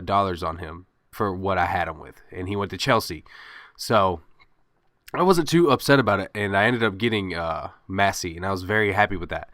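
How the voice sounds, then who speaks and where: Young male gamer, congested, rambling commentary, bright tone, dry recording. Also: fairly low pitch, no room sound. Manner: rambling, many fillers and restarts. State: stuffed up from a cold. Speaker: gaming commentator. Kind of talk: casual game commentary.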